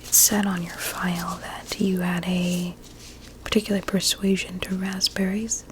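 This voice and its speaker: A woman playing a vain, sinister blueberry doctor in an ASMR medical roleplay, speaking in a soft breathy whisper with soothing menace. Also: fairly low pitch, little pitch movement, a little slow, noisy background, natural voice. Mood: smugly pleased.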